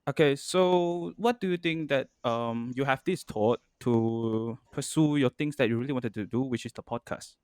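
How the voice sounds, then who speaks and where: A man's voice: medium pitch, moderate pace, few pauses, darkish tone, clean tone, no echo, clean studio sound. A man hosting a podcast, chatting in a friendly, hesitant way with frequent restarts and fillers. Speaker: podcast host. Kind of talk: casual podcast conversation.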